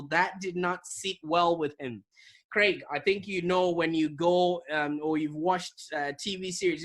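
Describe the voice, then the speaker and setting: Animated man telling a story. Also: high voice. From Zimbabwe. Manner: animated, vivid storyteller with repetitions. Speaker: guest, digital marketing business owner. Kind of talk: storytelling in an interview. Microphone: video call.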